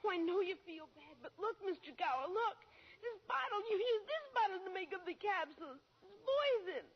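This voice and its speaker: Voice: very high voice, expressive, normal speed. A performer playing an earnest, frightened, pleading young boy in an old-time radio drama. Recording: muffled old radio recording, background commotion.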